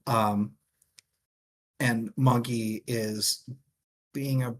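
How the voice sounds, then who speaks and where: A man with an average-pitched voice talks through a book in a casual, halting way. He takes his time, often searching for the next phrase, and drops in offhand swearing. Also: fairly even intonation. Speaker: co-host of a book discussion show.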